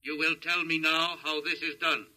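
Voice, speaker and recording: An actor playing an emotionless, logical alien captor with stilted, formal phrasing in 1950s radio science-fiction style. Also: male voice, on the high side, little pitch movement, moderate pace, pushed and bright, rough recording. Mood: cold, insistent. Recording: vintage 1950s radio recording.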